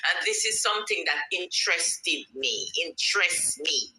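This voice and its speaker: A woman's voice: on the low side, lively intonation, unhurried, bright, forceful tone, roomy sound, low-quality recording. A woman with a Jamaican accent and Patois as her native tongue, delivering an informal, confrontational online rant with sassy, emphatic repetition. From Kingston, Jamaica. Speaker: online vlogger and podcaster. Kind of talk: informal direct-to-camera rant.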